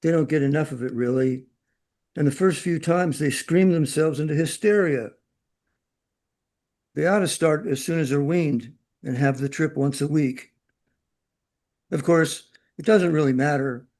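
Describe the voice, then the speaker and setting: A man's voice: a little high, measured pace, pauses often, a little mellow. A man acting in a radio drama as a chatty, knowing, worldly man with a breezy, opinionated way of talking.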